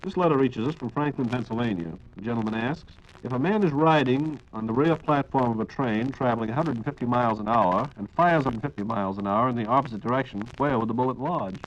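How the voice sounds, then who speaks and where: Male American radio host, 1940s announcer style, formal measured delivery, mid pitch, dull vintage sound. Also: slight background noise. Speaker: radio program host reading listener questions.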